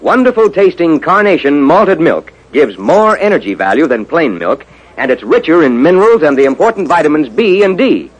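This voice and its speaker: A man with an American accent, a polished, formal 1950s radio announcer delivering sponsor pitches. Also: sing-song, normal speed, dull audio. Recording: vintage 1950s radio broadcast.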